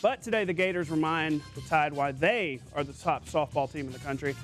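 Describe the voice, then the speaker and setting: Male sportscaster, high-pitched, punchy scripted highlight delivery, faint music underneath. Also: brisk, a bit bright. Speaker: sports anchor.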